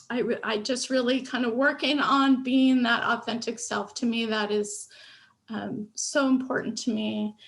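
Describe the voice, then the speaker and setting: Gravelly-voiced woman panelist who stutters. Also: fairly high pitch. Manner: warm, candid, with stutters, fillers and restarts. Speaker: panelist and speech pathologist. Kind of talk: personal story on a video call panel. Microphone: video call.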